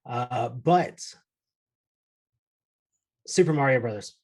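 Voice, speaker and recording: A male co-host in an informal conversation. His voice is husky and a little rough, sitting at a middle pitch. He speaks in a casual chat style. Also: slow, a little mellow.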